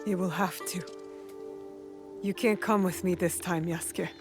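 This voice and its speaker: A woman's voice: lowish voice, steady tone, a little slow, over music. An actress playing a determined young female shinobi who speaks tersely and earnestly, over a game score. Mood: resolute. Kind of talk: video game cutscene acting.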